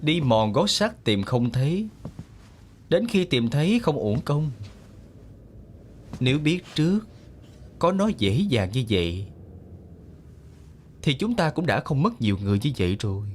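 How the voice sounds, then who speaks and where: A man's voice: on the high side, very animated, unhurried, long pauses, slight background noise. A man playing an arrogant, greedy, scheming clan leader in a fantasy drama dub, with a theatrical, sneering delivery. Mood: smug, cold. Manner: theatrical, menacing, sneering, gloating. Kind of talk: Vietnamese-style fantasy drama dubbing. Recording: voice over background music.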